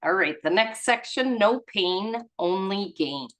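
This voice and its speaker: A woman's voice: mid-range voice, animated, unhurried. A woman leading a spiritual study group, reading aloud and commenting casually in an earnest, reflective way.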